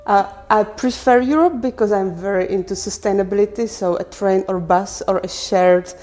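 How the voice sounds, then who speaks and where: Woman, mid-pitched voice. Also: normal speed, darkish tone, faint music behind. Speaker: podcast guest, landscape design teacher. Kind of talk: casual interview answers.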